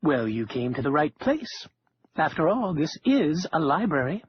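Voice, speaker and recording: A man's voice: a little high, big pitch swings, a little slow. A man voicing a nervous, eager, bookish librarian in an audiobook, with a fussy manner. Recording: dull lo-fi audio, faint noise.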